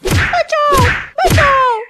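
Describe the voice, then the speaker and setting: A woman's voice: very high-pitched, extremely slow, heavy background noise, exaggerated voice. A woman voicing an indignant, emphatic parrot in a children's cartoon story. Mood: alarmed. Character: loud indignant parrot, bird friend.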